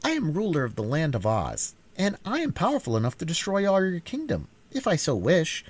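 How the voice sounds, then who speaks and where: A man voicing a calm, gracious young girl ruler in a storybook reading. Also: fairly high pitch, fairly quick, pitched above the performer's own voice. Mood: composed. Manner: composed, quietly firm.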